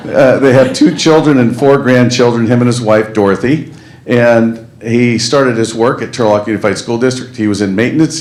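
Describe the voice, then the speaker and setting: A low-voiced man gives folksy, rambling remarks honoring a volunteer at a county meeting. His voice carries through an echoey chamber over a microphone. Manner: chatty, folksy, fillers and restarts. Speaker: county supervisor. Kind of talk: ceremonial public remarks. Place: county board meeting room.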